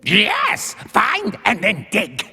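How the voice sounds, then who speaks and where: An actor playing a gruff, dim, bossy dog creature in a cartoon, barking blunt orders in a gravelly voice. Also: mid-range voice, huge pitch swings, very slow, bright, forceful tone, exaggerated voice. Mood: bossy, impatient. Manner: barking short, blunt commands, easily exasperated. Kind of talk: animated cartoon acting. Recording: long cavernous reverb.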